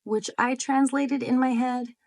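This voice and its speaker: A woman's voice: sits high, steady tone, a little slow, vocal fry. A woman talking to her viewers in a friendly, explanatory way and often asking rhetorical questions. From United States. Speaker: vlogger. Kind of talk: scripted talk to camera.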